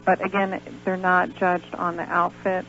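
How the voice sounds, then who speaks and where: American woman interviewee, lo-fi gravelly radio sound. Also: fairly low pitch, monotone, a little slow, fairly bright tone. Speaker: guest, pageant organizer. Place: radio show. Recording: dull lo-fi radio recording with hum, noise.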